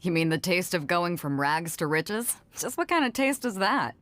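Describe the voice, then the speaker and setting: A woman's voice: fairly low pitch, sing-song, normal speed, fairly bright tone. An actress playing a bold, breezy woman sea captain in a video game, frank and swaggering. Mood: amused. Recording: music behind the voice. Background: background music.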